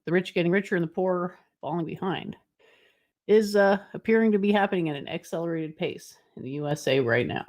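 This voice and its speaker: A woman giving informal news commentary in a deliberate, reflective way, pausing mid-phrase. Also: on the low side, darkish tone. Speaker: co-host commentator.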